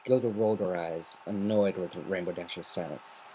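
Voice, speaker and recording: A man's voice: sits low, moderate pace, darkish tone, slight background noise, poor audio. A man narrating a story as an amateur semi-dramatic reading. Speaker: amateur fan fiction reader.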